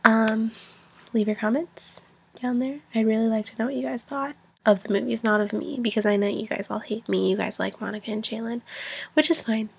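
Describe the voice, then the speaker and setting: A woman's voice: fairly high pitch, fairly even intonation, brisk, creaky, darkish tone, breathy edge. A young woman with a whiny voice, getting over a cold, rambling through a casual film review into a webcam in her bedroom. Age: young adult. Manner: chatty, rambling, lots of "like" and restarts. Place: small bedroom, indoors. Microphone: webcam at arm's length.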